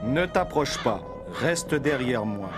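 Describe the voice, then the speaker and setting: An actor playing a scheming, fed-up farmer husband in an animated folk tale, with exaggerated theatrical delivery. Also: male voice, mid-range voice, slow, music in the background. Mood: sly, insistent. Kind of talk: animated cartoon dialogue.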